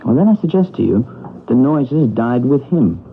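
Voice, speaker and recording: A composed gentleman lead, phone-thin broadcast. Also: medium pitch, very animated, normal speed, a little hiss or hum. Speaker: lead character and narrator of the drama. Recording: tinny old radio broadcast.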